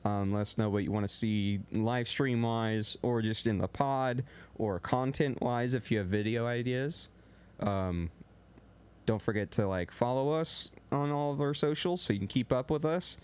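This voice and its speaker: A young man co-hosting a sports podcast in casual chat. He talks a little loud and rambles, with lots of filler words. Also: mid-range voice, moderate pace, clean tone. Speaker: podcast co-host.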